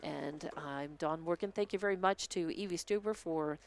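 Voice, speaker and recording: Gravelly American man calling local sports. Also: a little high. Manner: halting, thinking aloud while tallying. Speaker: sports commentator. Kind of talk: live unscripted game recap.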